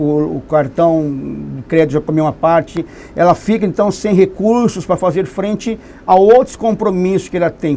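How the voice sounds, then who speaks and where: A man with a high, mellow voice speaks in a TV studio. He is a consumer protection representative who explains things patiently and leans hard on key points, with a faint hiss of noise behind him. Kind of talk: studio interview answers.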